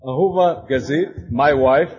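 Slow, halting man from Austria. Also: mid-range voice, a little mellow, highs cut off. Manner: hesitant, searching for words, frequent fillers. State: a little excited. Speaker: congregation member presenting his group. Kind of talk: halting presentation to an audience with slides.

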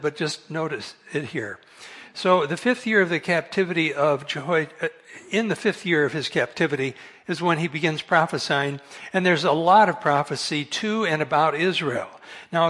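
A soft-spoken man giving a relaxed Bible study talk over a microphone in a meeting hall, correcting himself and chuckling now and then. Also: fairly high pitch. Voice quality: soft-voiced. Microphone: amplified microphone.

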